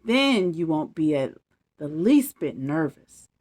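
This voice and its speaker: A woman voicing a big, gentle male bear in a storybook read-aloud, patient and gently teasing. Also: low voice, very animated, slow, exaggerated voice. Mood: indulgent.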